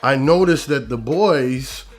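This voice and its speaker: A man's voice: mid-range voice, animated, slow pace. A man who works as a singer, trainer and coach, telling personal stories casually in an interview.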